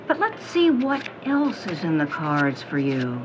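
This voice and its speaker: A woman playing a fortune-teller in an audio drama, reading palms and cards with slow, portentous pauses. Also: low-pitched, wildly swooping pitch, noisy background, exaggerated voice. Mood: mystical, portentous. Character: theatrical fortune-teller, businesslike about payment. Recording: dull sound with music behind.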